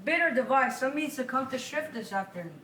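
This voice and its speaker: A young romantic gentleman played by a woman, courteous and ardent, with a high voice. The delivery is theatrical Shakespeare. The room is echoey, with faint noise. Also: lively intonation, a little fast.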